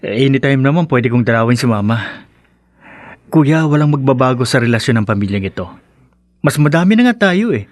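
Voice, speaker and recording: A dutiful young husband, acted by a man in a radio drama. He speaks earnestly in a medium-pitched voice, hesitating and soothing, with a dry studio sound. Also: big pitch swings, fairly quick, dry recording, lightly acted. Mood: earnest, calm. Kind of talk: radio drama acting in Tagalog.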